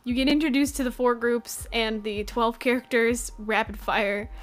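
A woman's voice: a little high, steady tone, normal speed, rough recording. A young woman in her twenties giving a casual, sarcastic anime review from her bedroom, swearing and laughing often into a desk microphone. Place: bedroom at home. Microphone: desk microphone in front, webcam.

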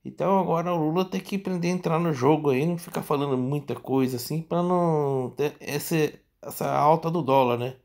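Brazilian guy chatting about the news off the cuff, creaky voice, rambles and repeats himself. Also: on the high side, a little mellow. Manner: rambling, repetitive, halting, with frequent tag questions. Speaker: political commentary vlogger. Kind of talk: casual off-the-cuff commentary on news.

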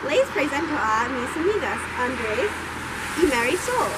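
A young woman, a native English speaker, performing an amateur scripted skit with halting, exaggerated learner delivery. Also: sits high, sing-song. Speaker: student performer. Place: inside a family house. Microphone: camera mic from a distance. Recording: echoey rooms, distant camera mic, noisy.

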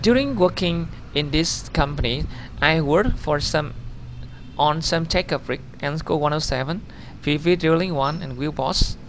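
A man in his thirties with a Vietnamese accent, a native Vietnamese speaker, narrating his own video CV over slides in a halting way, often correcting himself. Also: on the high side, fairly bright tone, slight background noise. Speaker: job applicant.

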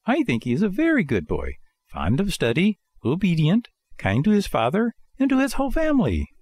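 A pitiful, excitable marionette boy from a storybook. The voice is pushed very high and leaps about in pitch as it wails, pleads and tells fibs in great exclamations. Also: fairly quick, no room sound, studio quality, full character voice. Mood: boastful fibbing. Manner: wailing, exclamatory. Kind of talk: storybook character voice in audiobook narration.

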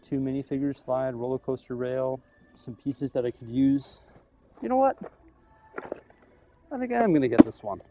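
A young man filming himself in a big store, chatting casually as he weighs up prices and thinks out loud. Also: medium pitch, animated, normal speed, long pauses, a little hiss or hum. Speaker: vlogger. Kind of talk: casual shopping vlog. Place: large store aisle, indoors. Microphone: camera held at arm's length.

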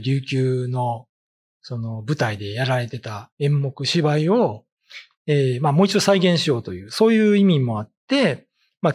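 A middle-aged man with a slightly husky voice, giving informal explanatory commentary in halting, conversational phrases. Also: mid-range voice. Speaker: ghost story writer and commentator. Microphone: desk condenser mic with pop filter.

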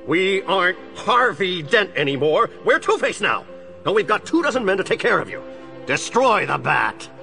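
An obsessive villain with a split personality in an audio drama. The voice is high and harsh-edged, leaping wildly between menace and frantic raving. Faint music plays behind. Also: male voice, sing-song, moderate pace, vocal fry, pushed and bright, full character voice. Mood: menacing. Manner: frantic, menacing, calls himself "we". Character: obsessive, unhinged crime boss with a split personality.

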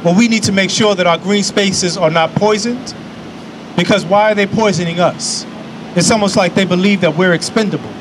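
A man in his forties speaks into a podium microphone on an outdoor lawn, with the cadence of a preacher. His voice sits fairly high, and he leans on key phrases by repeating them. Steady city traffic hums loudly behind him. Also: moderate pace. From New York. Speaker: invited speaker. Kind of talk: speech at an outdoor public event. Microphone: podium microphone on a stand. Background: city traffic and street ambience.

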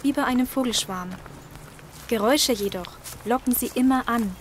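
Woman acting an observant, high-voiced survivor. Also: moderate pace, slight background noise, lightly acted. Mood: earnest. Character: helpful, observant female survivor. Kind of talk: audio drama acting.

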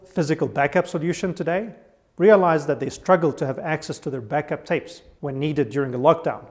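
A man in his forties giving a scripted conference presentation, speaking in a measured, deliberate way and listing points one by one. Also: fairly high pitch, darkish tone. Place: studio with virtual sky backdrop.

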